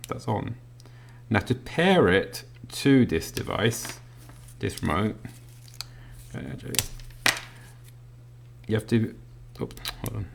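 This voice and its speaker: A man reviewing a product in a casual hands-on demonstration, explaining it step by step in a halting way. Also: on the low side, vocal fry. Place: indoors at a work desk.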